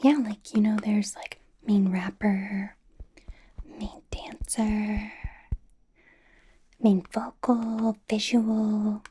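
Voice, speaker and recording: A woman playing a bubbly, nervous young K-pop idol in an ASMR roleplay, whispering softly in a chatty, rambling, reassuring way. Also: mid-range voice, level tone, extremely slow, pauses often, a little hiss or hum. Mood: playfully gushing. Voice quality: soft, breathy whisper.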